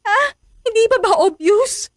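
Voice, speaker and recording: High-pitched lovelorn young woman, emotional. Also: expressive, measured pace, dry recording, studio quality. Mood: hurt, pleading. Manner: emotional, pleading. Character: lovelorn young woman, hopeful then hurt and jealous. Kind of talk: Filipino radio drama acting.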